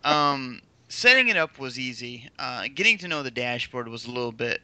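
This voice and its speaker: Bright, joking man on a podcast. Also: medium pitch, normal speed. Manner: animated, joking, swears casually. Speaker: podcast guest. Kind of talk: casual chat.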